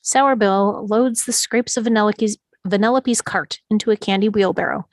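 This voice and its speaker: A woman's voice: mid-range voice, normal speed, no echo. Woman narrating a screenplay, very dry sound. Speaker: stage directions narrator. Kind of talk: scripted narration of screenplay table read.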